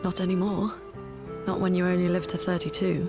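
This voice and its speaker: A woman's voice: fairly low pitch, flat delivery, fairly quick, lightly acted. An actress playing a composed, dry-witted young woman scholar in a video game cutscene, matter-of-fact and wry. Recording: studio voice under clear background music.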